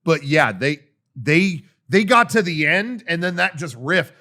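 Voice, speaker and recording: A man co-hosting a podcast in casual chat, excitable, laughing and loudly repeating catchphrases. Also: fairly high pitch, a little slow. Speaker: podcast co-host.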